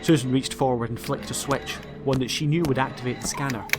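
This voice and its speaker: A man's voice: medium pitch, fairly even intonation, fairly quick. A man narrating a scripted audiobook story in a dramatic storytelling style. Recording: music behind the voice.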